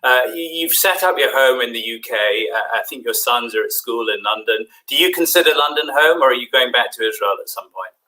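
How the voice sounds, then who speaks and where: A man's voice: mid-range voice, expressive, brisk, hardly any pauses, bright, forceful tone, poor audio. A man interviewing a business leader, asking direct, plain questions.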